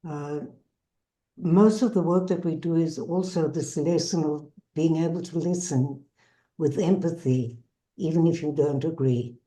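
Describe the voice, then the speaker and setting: An older woman with a South African accent gives a measured, earnest personal testimony as a bereaved mother and peace activist. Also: low voice, warm tone, poor audio. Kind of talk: personal testimony to an online audience.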